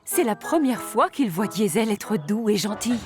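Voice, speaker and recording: A woman's voice: medium pitch, very animated, moderate pace, over music. A woman narrating a children's cartoon story as a scripted storyteller.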